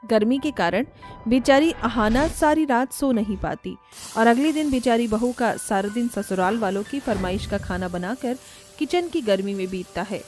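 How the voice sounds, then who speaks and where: Woman, scripted story narration, fairly high pitch, faint music behind. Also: lively intonation, moderate pace, darkish tone.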